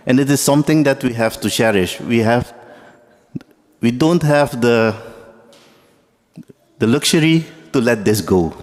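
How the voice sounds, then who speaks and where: A man from Suriname whose first language is Dutch, giving a halting ceremonial speech in English with many fillers. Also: mid-range voice, darkish tone. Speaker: guest speaker. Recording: long hall reverb.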